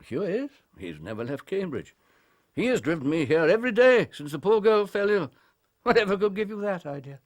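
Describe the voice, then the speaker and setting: Emphatic older English doctor, animated. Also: male voice, high voice, a little fast. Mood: agitated, regretful. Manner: emphatic, earnest, formal. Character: grave, proud, compassionate older male country doctor. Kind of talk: British radio drama acting.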